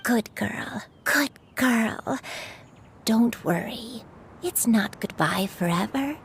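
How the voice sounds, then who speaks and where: A gentle, slow old granny. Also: female voice, on the high side, expressive, a little hiss or hum. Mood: tender. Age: older. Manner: soft, tender, comforting. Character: gentle old grandmother, a crane turned human. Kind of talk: game cutscene voice acting.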